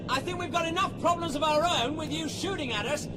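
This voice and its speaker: Very high-pitched, exasperated fugitive. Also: male voice, fairly quick. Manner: dryly reasonable, exasperated. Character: exasperated, polite, quick-witted man on the run. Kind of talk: radio comedy acting. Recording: clear noise of effects behind voice.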